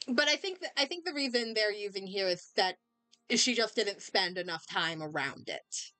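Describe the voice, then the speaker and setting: A woman's voice: mid-range voice, animated, normal speed, sharp tone, dry recording. A woman game streamer giving casual commentary and reading dialogue aloud into a close microphone.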